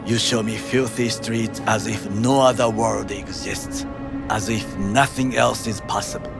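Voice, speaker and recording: Proud japanese bodyguard in a game, japanese accent, low gravelly voice, formal n very slow, music behind. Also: male voice, fairly even intonation. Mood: stern, proud. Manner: formal, measured, deliberate phrasing without slang. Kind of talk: video game cutscene acting. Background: music under the voice.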